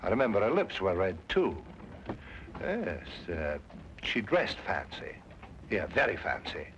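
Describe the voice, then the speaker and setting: An actor playing a flustered, gruff middle-aged police inspector in an old detective drama, halting and blustering. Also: male voice, deep voice, huge pitch swings, a bit bright, a little hiss or hum, heavily acted. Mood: defensive. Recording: dull old drama soundtrack, music behind.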